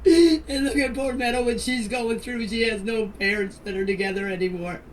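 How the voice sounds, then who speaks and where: A woman's voice: on the high side, steady tone, normal speed. Older woman, distant noisy voice message. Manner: pleading, insistent, plain-spoken. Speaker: grandmother answering questions in a group voice chat. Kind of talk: emotional personal statement in voice messages.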